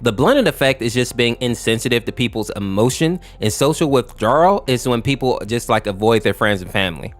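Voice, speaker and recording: A man's voice: medium pitch, expressive, fairly quick, few pauses. A man narrating an explainer commentary in an informal, conversational way. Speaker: video narrator explaining horror lore. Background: music bed.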